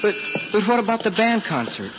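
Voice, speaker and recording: Earnest schoolboy, lively pitch, old radio. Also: male voice, brisk, slight background noise. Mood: sheepish. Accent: American. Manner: hesitant, polite, earnest. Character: earnest, polite schoolboy son playing matchmaker. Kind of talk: old-time American radio drama acting. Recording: muffled old radio recording, reverberant hall.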